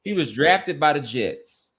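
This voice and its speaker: Male sports podcast co-host, slightly high voice, casual debater who repeats points for emphasis. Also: expressive, slow, creaky, fairly bright tone.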